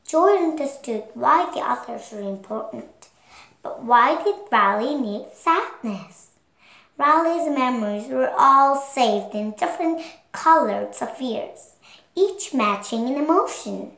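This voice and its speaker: A seven-year-old girl reading a storybook aloud in a careful, halting way. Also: fairly high pitch, expressive, gravelly, a bit bright, roomy sound. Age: child, 7 years old. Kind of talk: read-along storybook narration.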